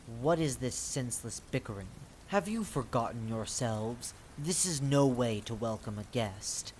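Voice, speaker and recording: A reader acts out a fae lord of the hunt in an audio drama. The delivery is formal and stately, commanding yet courteous, in a middle-pitched voice. Clear noise sits behind it. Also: lively intonation, unhurried, a little mellow, natural voice, low-quality recording. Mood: stern. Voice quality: deep.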